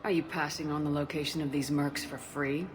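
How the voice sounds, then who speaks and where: Woman playing a deep-voiced military commander. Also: little pitch movement, brisk, soft background music, natural voice. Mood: firm, direct. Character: tough female military commander, terse and direct. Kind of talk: video game dialogue.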